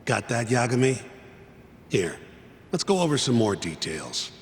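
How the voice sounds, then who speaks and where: A man's voice: medium pitch, very animated, a little slow, big-room sound. An actor playing a blunt, skeptical male partner who dismisses the case. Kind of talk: video game voice acting.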